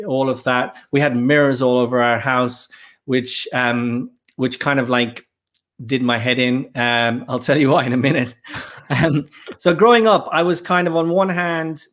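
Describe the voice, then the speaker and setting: A man's voice: medium pitch, fairly bright tone. Thoughtful man in his fifties. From Ireland. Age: 50s. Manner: thoughtful, halting, with frequent pauses and fillers. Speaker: podcast guest. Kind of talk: casual interview talk.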